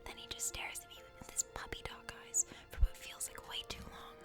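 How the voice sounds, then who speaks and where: A woman narrates a dating game story in the first person for ASMR. She speaks in a hushed whisper, with music clearly playing behind her. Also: fairly quick. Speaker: ASMR creator reading a game aloud.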